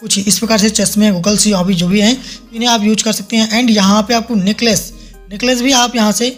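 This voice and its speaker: A man's voice: high voice, steady tone, a little fast. A man hosts a step-by-step app tutorial. He guides the listener with repeated instructional phrases. Faint music runs behind his narration. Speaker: tutorial host. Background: soft background music.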